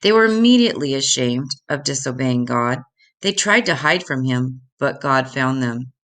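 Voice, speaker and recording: An older woman reading Bible stories aloud to children in a deliberate, instructive way, addressing them directly. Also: extremely low pitch, animated, a bit bright.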